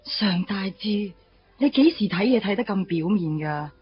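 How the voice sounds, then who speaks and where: A woman's voice: medium pitch, lively intonation, unhurried, dull audio, natural voice. Frank young Hong Kong woman, an actress, music behind. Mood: indignant, chiding. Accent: Hong Kong Cantonese. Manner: chatty, frank, chiding. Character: outspoken, playful young woman, old first love. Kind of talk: Cantonese radio drama acting.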